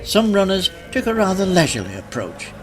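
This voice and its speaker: Lively newsreel narrator, over music. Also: mid-range voice, a little fast. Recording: music behind the voice.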